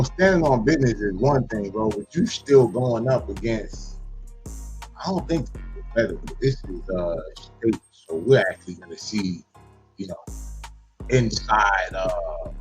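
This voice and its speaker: A man's voice: fairly low pitch, low-quality recording. A man giving casual, profane commentary as a reaction show host, full of slang. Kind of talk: casual commentary on a played clip.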